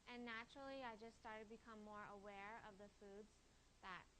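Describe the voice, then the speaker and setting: A woman's voice: medium pitch, steady tone, brisk, fairly bright tone, a little hiss or hum, low-quality recording. A woman in her twenties giving conversational answers as a guest in an interview. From California, Taiwan and Shanghai. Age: 20s. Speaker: guest, holistic health coach.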